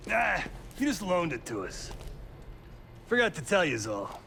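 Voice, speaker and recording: An actor voicing an irreverent male operative in a video game, casual and needling, with a creaky voice. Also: high voice, wildly swooping pitch, a little slow, pushed and bright, a little hiss or hum, heavily acted. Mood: wry, teasing. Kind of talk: cinematic video game acting.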